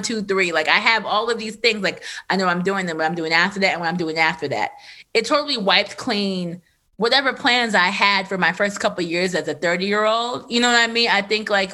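A woman in her thirties, a podcast host, telling a candid personal story in a loose, animated way with lots of fillers. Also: mid-range voice. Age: 30s. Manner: animated, filler-heavy, laughs often. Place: Los Angeles area.

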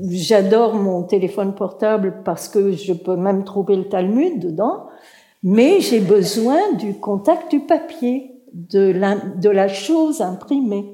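Casting: unhurried female guest speaker, mellow tone, reflective, recorded with roomy echo. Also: mid-range voice. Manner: reflective, searching for words. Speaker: translator and author guest. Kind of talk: panel conversation.

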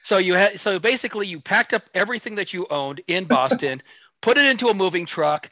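Bright-voiced man host, dry lo-fi sound. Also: high voice, expressive, a little fast, no echo, soft background music. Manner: friendly, lightly teasing. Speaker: podcast host. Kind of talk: casual interview questions.

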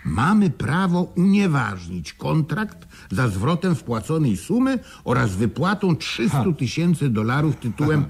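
An actor in a Polish radio play playing a boastful, sly mine seller with a chatty way of storytelling. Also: male voice, on the high side, big pitch swings, a little slow, gravelly, few pauses, breathy edge, full character voice. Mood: gleeful, boastful.

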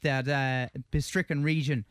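A man giving news commentary on global politics, halting with restarts. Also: mid-range voice, steady tone, a bit bright, smooth, no echo, studio quality. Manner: halting, restarts mid-phrase.

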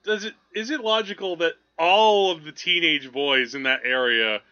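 A man's voice: fairly high pitch, moderate pace, sharp tone, lo-fi sound. A man talking through films casually as a podcast host, in a rambling, conversational way. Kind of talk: casual film discussion.

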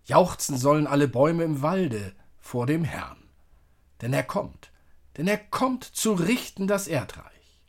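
A man's voice: on the high side, sing-song, a little slow. A man reading psalm and prayer as a church service leader, solemn and declamatory.

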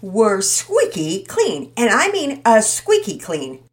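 A booming, high-pitched boy bursting with energy, all swagger and jokes, as a woman reading the story puts him on. His voice leaps up and down with every line. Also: huge pitch swings, slow pace, exaggerated voice. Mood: exuberant. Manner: boisterous, brash. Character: exuberant loud joking boy.

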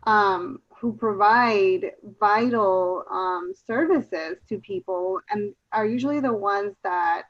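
A woman's voice: medium pitch, takes it slowly, hardly any pauses. A woman speaking hesitantly, with many fillers, in a casual discussion. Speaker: panelist. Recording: dull lo-fi sound.

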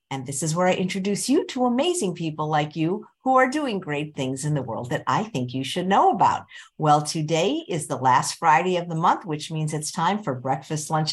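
Woman host, low voice, playful, chatty. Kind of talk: casual show hosting.

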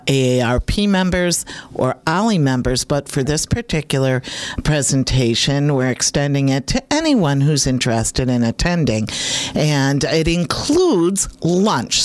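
A woman's voice: low-pitched, no room sound. A woman hosting an interview, chatty and encouraging, who promotes an upcoming event and laughs often. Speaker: host. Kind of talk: community talk show interview.

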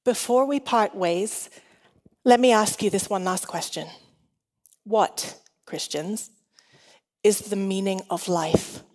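A woman's voice: mid-range voice, slow pace, pauses often, darkish tone. A middle-aged woman with a mixed, shifting international accent, preaching conversationally with chatty, self-deprecating humour. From Hong Kong. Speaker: guest preacher. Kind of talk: conversational sermon to a congregation. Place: large reverberant hall. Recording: long hall reverb.

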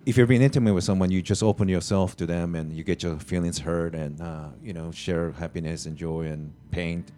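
A man from Japan, a comedian guest on a podcast, talking in a soft, halting way with many fillers. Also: deep voice, fairly even intonation, a little hiss or hum. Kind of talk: casual podcast chat.

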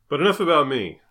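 A man's voice: fairly high pitch, a bit bright. A man co-hosting a comedy podcast, bantering casually and reading satirical news jokes in a self-deprecating way, often laughing through his own lines. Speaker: podcast co-host and joke writer.

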